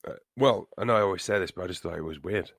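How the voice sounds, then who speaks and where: A man co-hosting a casual chat, hoarse from a chesty cold and talking in a hesitant, halting way. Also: sits low, fairly bright tone. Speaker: podcast co-host.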